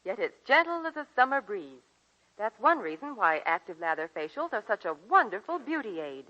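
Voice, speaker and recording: A woman's voice: mid-range voice, sing-song, normal speed, bright, forceful tone. An actress playing an eager, chirpy young woman in a scripted 1940s radio commercial. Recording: muffled vintage 1940s radio broadcast.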